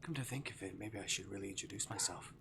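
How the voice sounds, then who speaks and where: A man's voice: on the low side, fast talker. Man voicing a theatrical, teasing man-eating demon, noisy background. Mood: teasing, courteous. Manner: exaggerated, mock-courteous, teasing menace. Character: playful theatrical man-shaped arctic demon who eats humans. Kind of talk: visual novel character voice acting. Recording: clear background noise.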